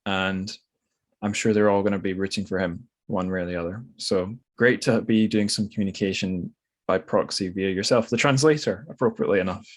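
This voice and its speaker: A man's voice: low voice, brisk. A man hosting a podcast in casual, informal chat, musing and correcting himself as he goes. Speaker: podcast host.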